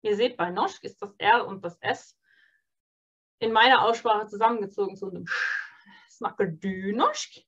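A woman teaching an online beginner Norwegian course, cheerful and encouraging, modelling phrases slowly and clearly. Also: mid-range voice, animated, a bit bright, highs cut off. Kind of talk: online beginner language lesson.

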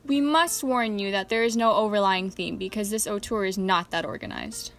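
A woman's voice: mid-range voice, normal speed, fairly bright tone, lightly acted. Woman playing dry, teasing radio host. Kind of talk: scripted comic hosting.

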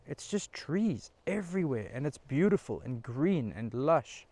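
Excited man camping in a forest. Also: on the high side, a little slow, noisy background. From Australia. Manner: enthusiastic, chatty, talks straight to camera. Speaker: camping vlogger. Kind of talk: casual solo camping vlog. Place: outdoors in a pine forest campsite. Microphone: camera mic, handheld selfie and tripod framing.

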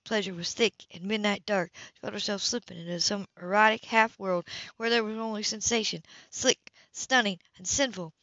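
A woman reading a romance novel aloud as an amateur narrator, slightly halting, stumbling over words and repeating them. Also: medium pitch, steady tone, a bit bright, no room sound. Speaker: amateur audiobook reader. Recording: dull, muffled lo-fi home recording.

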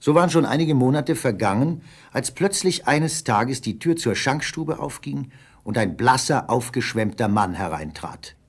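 A man with a husky voice giving scripted narration for an audio drama. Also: mid-range voice, lively intonation, moderate pace.

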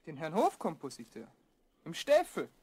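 Earthy street seller, Viennese accent, blunt, exclaiming, lively swooping pitch, radio play. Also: female voice, moderate pace, breathy edge, full character voice. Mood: matter-of-fact.

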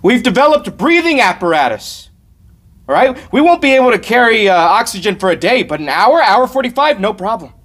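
A man's voice: sits high, sing-song, fairly quick, a bit bright, exaggerated voice. A man performing a comic film monologue as a cocky, indignant, argumentative ranter. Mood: cocky menace. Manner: emphatic, argumentative. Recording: faint background room noise.

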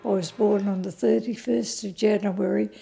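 A woman's voice: medium pitch, fairly even intonation, moderate pace, vocal fry, warm tone. An Australian woman in her nineties reminiscing in an oral history interview. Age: 90s. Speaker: local resident interviewee.

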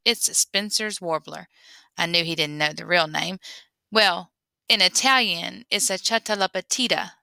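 A woman reading a memoir aloud in an expressive storytelling way. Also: mid-range voice, dry recording. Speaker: reader.

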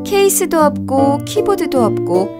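A woman narrating a scripted children's story in an expressive, gentle storytelling manner. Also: high-pitched, normal speed. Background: music.

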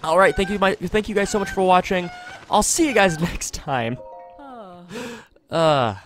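A man chats through a video game in a fairly high voice that swings freely up and down. He is casual and wry, often poking fun at himself. He is close on a desktop mic, with faint music behind him. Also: expressive, a little slow, fairly bright tone. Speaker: gaming video host. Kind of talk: casual let's-play commentary. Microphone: close desktop USB microphone.

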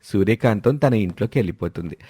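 Animated, mellow male story narrator. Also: fairly low pitch, fast, husky.

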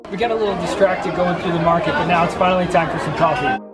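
A man's voice: high-pitched, fairly even intonation, quick pace, over loud music, a loud crowd. A man in his thirties narrating his own travel vlog in an upbeat way, partly outdoors on a lake. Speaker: travel vlogger.

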